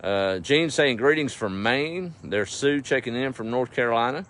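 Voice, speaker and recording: A man's voice: medium pitch, animated, moderate pace, fairly bright tone. A middle-aged man with an East Texas accent chatting casually on a farm livestream, folksy and easygoing, talking to his animals and viewers. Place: outdoors on a farm. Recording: faint outdoor background noise.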